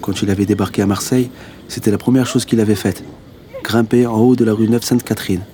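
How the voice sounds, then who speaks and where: A man's voice: lowish voice, steady tone, quick pace, warm tone, slight background noise, natural voice. A male actor playing a tender, resigned young narrator, telling his story in an understated, intimate first-person radio drama style. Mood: reflective, tender. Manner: reflective, understated. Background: music.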